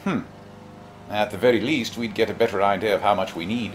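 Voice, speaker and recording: An actor playing an eccentric, flippant time-travelling alien adventurer, whimsical, wry and fussily precise, in an audio drama. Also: male voice, lowish voice, steady tone, fairly quick, music in the background, close to an everyday voice. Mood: wry, musing.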